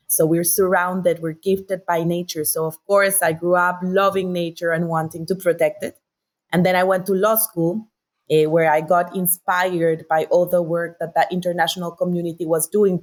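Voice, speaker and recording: A woman with a Colombian accent, a native Spanish speaker, telling her story articulately and enthusiastically as an interview guest. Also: lowish voice. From Bogota, Colombia. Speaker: interview guest, sustainability director.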